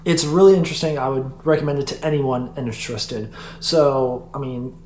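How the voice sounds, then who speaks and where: A man's voice: medium pitch, normal speed, roomy sound. Young guy guesting on a podcast, rambly, lots of "like", faint hum in the room. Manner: rambling, animated, heavy use of "like". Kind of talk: casual podcast chat.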